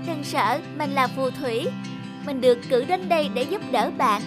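A woman's voice: high-pitched, moderate pace, lightly acted. A woman voicing a bossy, impatient little witch girl in a children's cartoon. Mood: pushy. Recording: music under the voice.

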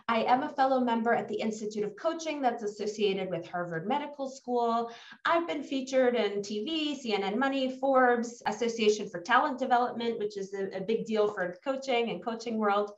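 Woman, New York accent, higher pitch, echoey room, dull call audio, emphatic webinar presenter. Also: distant mic. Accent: New York American. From New York, United States. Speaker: executive coach leading a workshop. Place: indoor room on a video call.

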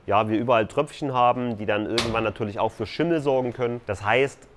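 A man's voice: mid-range voice, quick pace, slight background noise. Man casually explaining a build. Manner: explaining step by step, loose and conversational. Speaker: tiny house builder.

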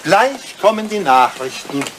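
An older man playing a devoted elderly butler in a German radio play, polite and formal with quiet, dry impertinence. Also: high voice, sing-song, a little slow, noisy background. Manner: polite, formal, dryly disapproving.

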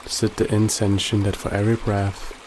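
A man guiding a body scan meditation in a calm, gently instructive way with long pauses. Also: lowish voice, slight background noise, poor audio. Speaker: meditation guide. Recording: loud running water behind the voice. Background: trickling stream water ambience.

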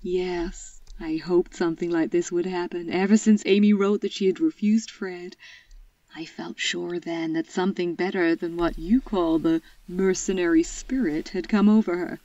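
An actress playing a gentle, wise, middle-aged mother in an audio drama, speaking in a soothing, measured way. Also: lowish voice, steady tone, dry recording, far from the mic, highs cut off, close to an everyday voice. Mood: warm, reassuring. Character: gentle, wise, loving mother of grown daughters.

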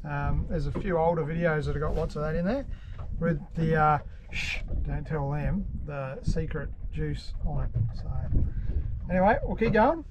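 A man's voice: on the high side, normal speed. A middle-aged man giving casual, chatty commentary while fishing from a small open boat outdoors, filmed on an action camera. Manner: chatty, coaching, hushed asides. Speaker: fishing vlogger. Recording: muffled with loud wind and water noise.

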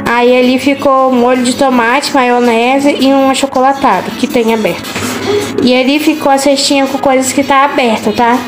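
A woman's voice: high-pitched, fairly even intonation, moderate pace, over music, rough recording. A young woman casually chatting to viewers while tidying her home kitchen, pointing things out one by one on a handheld phone. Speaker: home vlogger. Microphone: handheld phone camera.

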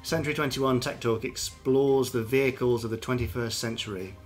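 A man's voice: mid-range voice, fairly even intonation, brisk. A man co-hosting a podcast, chatting casually and cracking jokes as he reads out listener emails, his voice sitting in a mid range. Faint music plays underneath. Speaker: podcast co-host. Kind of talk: casual chat.

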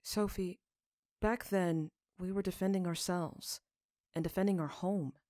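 A woman voicing a gentle, weary, earnest father in a story reading, speaking in a measured way. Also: on the low side, fairly even intonation, no echo, clean studio sound, close to an everyday voice.

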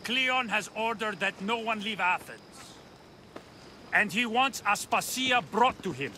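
An actor playing a soldier at a port, barking shouted orders in a video game. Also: male voice, sits high, animated, unhurried, slight background noise, exaggerated voice. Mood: commanding. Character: male soldier guarding the port. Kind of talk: video game cutscene acting.

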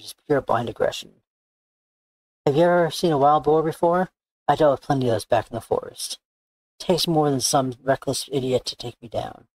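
A man's voice: mid-range voice, no room sound, lightly acted, rough recording. A man voicing a cocky, bossy young woman tracker, boastful and blunt, reading visual novel game dialogue. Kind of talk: character read-aloud of game dialogue. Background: background music.

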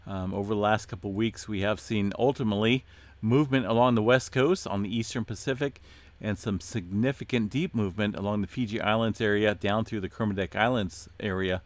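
Man, low voice, dry close sound, hoarse, casual talk to viewers, lots of uh. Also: fairly quick, dry recording. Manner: relaxed, many "uh" fillers, addresses viewers as folks. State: hoarse, losing his voice. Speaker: earthquake update host. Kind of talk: casual screen-share commentary.

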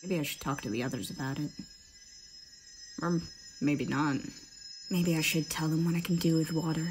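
A woman's voice: deep voice, steady tone, normal speed, slight background noise, close to an everyday voice, low-quality recording. A woman voicing a cheeky, dramatic, moody thirteen-year-old girl in a comic fandub. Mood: musing. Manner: cheeky, dramatic, musing to herself.

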